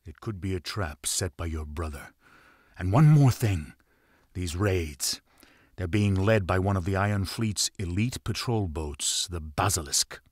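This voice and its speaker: A low-voiced, commanding pirate lord. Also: male voice, expressive, a little slow, a little mellow, no room sound, studio quality. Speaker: video game character giving mission briefings. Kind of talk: dramatic game cutscene acting.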